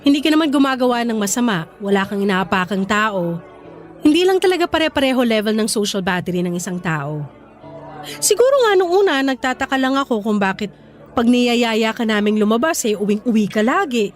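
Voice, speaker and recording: A young woman voicing a supportive girlfriend in casual, expressive radio drama dialogue. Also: on the high side, a little fast. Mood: reassuring. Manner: expressive, casual, sympathetic, code-switching into English. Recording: faint music under dialogue.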